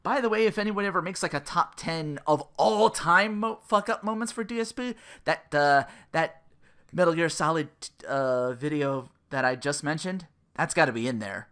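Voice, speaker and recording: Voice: medium pitch, moderate pace, fairly bright tone. A podcast host rambling casually in a sardonic, profane manner, often acting out quotes as part of the commentary. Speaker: podcast host and content creator.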